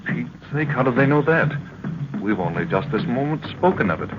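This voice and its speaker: An actor playing a plucky, respectful adventurer in an old radio drama, speaking in an earnest, polite way. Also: male voice, mid-range voice, sing-song, moderate pace, over loud music, lightly acted. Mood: alert, puzzled. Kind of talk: 1940s radio acting. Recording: noisy, dull old radio recording.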